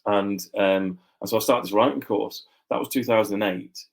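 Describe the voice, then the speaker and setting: A man with a low voice and a mixed northern English accent talks as a guest author. He rambles easily, doubling back on his words with plenty of fillers. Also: steady tone, moderate pace, creaky. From Yorkshire, England. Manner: rambling, with many fillers and restarts. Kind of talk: casual interview chat.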